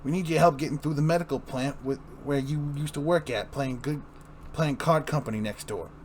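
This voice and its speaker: A man's voice: fairly high pitch, a little fast, slight background noise, close to an everyday voice. Pushy, streetwise small-time crook voiced by a man. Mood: reassuring. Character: casual, persuasive male crook recruiting an accomplice. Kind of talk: amateur dramatic comic book reading.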